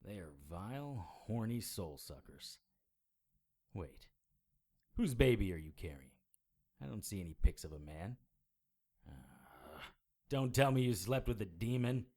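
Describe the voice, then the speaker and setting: An actor playing a hard-boiled, sardonic occult investigator in a noir audio drama, blunt and world-weary. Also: male voice, lowish voice, sing-song, unhurried, pauses often, a little mellow, full character voice. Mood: sarcastic, wary.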